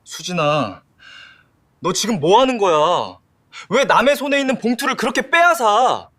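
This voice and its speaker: A man's voice: high voice, sing-song, normal speed, sharp tone, exaggerated voice. A man voicing an exasperated husband in an audio drama, pleading and accusing. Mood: angry. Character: exasperated husband devoted to his mother.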